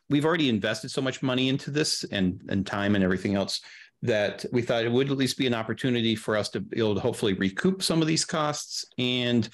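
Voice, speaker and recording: A middle-aged man chatting casually as an interview guest, explaining production matters at length and in practical detail on a video call. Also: medium pitch. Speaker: guest, audio drama producer. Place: indoor room, video call.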